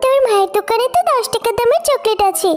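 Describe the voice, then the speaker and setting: A woman's voice: very high voice, fast, over music. A woman voicing a pleading, childlike little girl in a children's cartoon story. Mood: eager. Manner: wheedling, childlike. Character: pleading little girl who craves chocolate.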